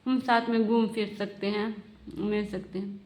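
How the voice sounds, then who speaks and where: A woman performing a satirical first-person spoken-word monologue in short, deliberate phrases. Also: medium pitch, little pitch movement, a little mellow. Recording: roomy, echoing voice recording.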